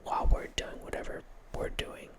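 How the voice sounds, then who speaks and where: A man in his thirties hosts his ASMR channel in a soft unvoiced whisper, close on a studio mic. He rambles loosely, restarting phrases and slipping in quiet laughs. The sound is intimate, from a small room at home. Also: normal speed. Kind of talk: whispered ASMR ramble about a horror movie. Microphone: large studio mic close in front, with headphones.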